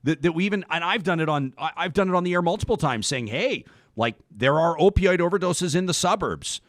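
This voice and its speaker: A man hosting a conversational interview, speaking haltingly and often repeating words as he rephrases. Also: on the high side, animated, no echo, clean studio sound. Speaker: talk show host.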